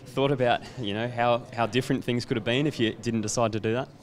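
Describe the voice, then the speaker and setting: A man works as the club interviewer, firing off quick, brisk questions. He holds a handheld mic out to the player. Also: medium pitch, fairly even intonation. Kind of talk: post-match interview questions. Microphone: handheld microphone.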